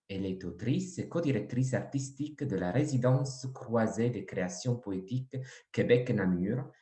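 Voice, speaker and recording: A man's voice: lowish voice, a little mellow. A man hosting a literary event, reading scripted introductions of authors. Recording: echoey room sound.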